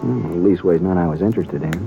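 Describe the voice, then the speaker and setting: An actor playing a defensive, guilt-ridden young American frontier man in a 1950s radio Western, speaking in a rustic, halting, emotional way. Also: deep voice, gravelly. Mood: subdued, rueful. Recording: muffled old radio recording, faint music.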